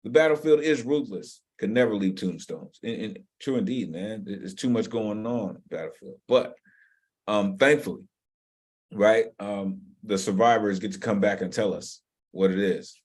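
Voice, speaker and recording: A man hosting an online poetry open mic over a video call, moving between loud excited shouts and slow earnest praise for the poets. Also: on the low side, slightly rough.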